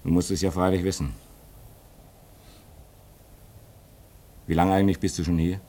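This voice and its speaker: An actor playing a bitter, sardonic newcomer at a polar station in a German radio drama, clipped and sarcastic. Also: male voice, sits low, little pitch movement, very fast, warm tone. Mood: sceptical. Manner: clipped, sarcastic, sharply inflected.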